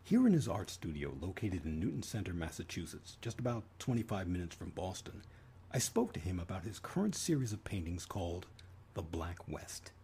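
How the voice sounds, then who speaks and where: A man's voice: deep voice, sing-song, normal speed, warm tone, a little hiss or hum. A man hosting and narrating a scripted radio documentary. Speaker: host and narrator. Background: music.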